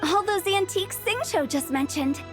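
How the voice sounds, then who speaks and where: A woman's voice: extremely high pitch, animated, normal speed, over music. A woman voicing a playful, cheeky, upbeat teenage girl in a video game, with a breezy, teasing way of talking. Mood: breezy, helpful.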